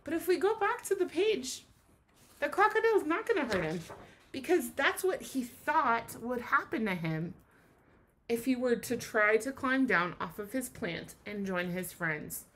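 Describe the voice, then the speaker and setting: Female teacher for a kids' read-aloud lesson: high pitch, lively swoops, expressive, explains as she goes. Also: measured pace. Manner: expressive, asks listeners questions and explains.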